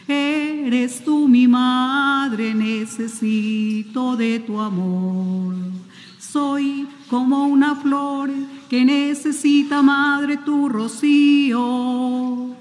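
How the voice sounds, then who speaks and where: A woman cantor slowly singing a Marian hymn during a Mass broadcast. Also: high voice, long reverb tail.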